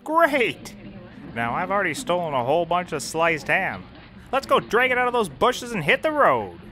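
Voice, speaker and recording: Actor doing hapless undercover detective in an audio comedy, rambly and brash, swingy pitch, music behind. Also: wildly swooping pitch, moderate pace, pushed and bright. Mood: eager, pitching. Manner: rambling, self-correcting, emphatic. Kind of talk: scripted audio comedy acting.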